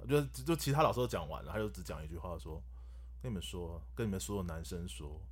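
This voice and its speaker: Man, Taiwanese Mandarin accent, lowish voice, animated anecdotes, fast. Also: breathy edge. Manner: animated storyteller, jokes. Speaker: voice actor guest. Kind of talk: casual chat.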